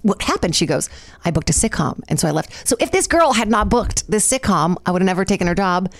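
A woman's voice: medium pitch, quick pace, dry recording. A woman in her thirties, a comedian from Brazil, telling stories as a guest in a casual podcast chat and acting out the people she quotes. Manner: animated storyteller, acts out quoted voices, laughs often.

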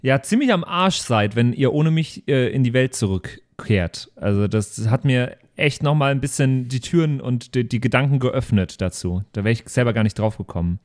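Male podcast host, medium pitch, conversational and colloquial, openly emotive. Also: lively intonation, normal speed, few pauses, darkish tone. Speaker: podcast host and role-player. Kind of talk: casual podcast chat.

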